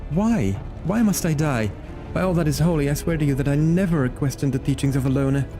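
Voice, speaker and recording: A man's voice: a little high, brisk, over music, voice pushed up, lightly acted. A man voicing a terrified young woman, a maid pleading for her life.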